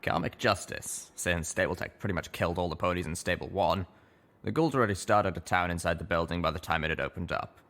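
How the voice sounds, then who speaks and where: Man as undead colt, century old, snarky, jaded, gravelly, rambling storybook character. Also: low-pitched, a little fast, fairly bright tone. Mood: jaded explaining. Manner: sardonic, weary rambling. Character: century-old ghoul pony in a colt's body, snarky, jaded.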